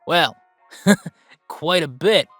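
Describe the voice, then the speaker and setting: Man acting as a slow, halting male streamer. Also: fairly high pitch, lively intonation, pushed and bright, no echo, faint music behind, exaggerated voice. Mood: relaxed, musing. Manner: halting, rambling, sighing, earnest. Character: grateful, upbeat male video game streamer character. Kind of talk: casual livestream chat, scripted roleplay.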